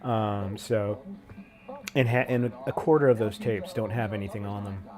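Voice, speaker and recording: A man's voice: lowish voice, steady tone, moderate pace, over music. Congested man chatting on a live stream. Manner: laid-back, slangy, says dude and man a lot. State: congested from a cold, sniffly. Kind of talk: casual live stream chat, greeting viewers.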